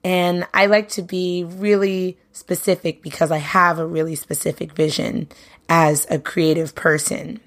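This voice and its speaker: A woman's voice: on the low side, little pitch movement, unhurried. A young adult woman from Brooklyn, hosting her own podcast in a chatty, upbeat, casual way, full of "you know"s. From Brooklyn, New York. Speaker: podcast host, singer and bandleader. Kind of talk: casual solo podcast monologue.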